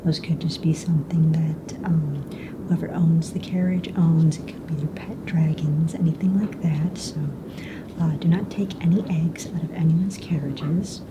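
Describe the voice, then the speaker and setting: Casting: a woman as a friendly, unhurried fantasy hunt hostess for ASMR, whispering softly in a slightly low register over clear background noise. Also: fairly even intonation. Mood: calm, instructive. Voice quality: soft, breathy whisper. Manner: casual, hesitant, explanatory, matter-of-fact about rules and danger. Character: calm, friendly mistress of ceremonies of a fantasy dragon egg hunt. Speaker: ASMR roleplayer. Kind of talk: soft-spoken whispered ASMR roleplay. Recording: noisy close recording with low rumble.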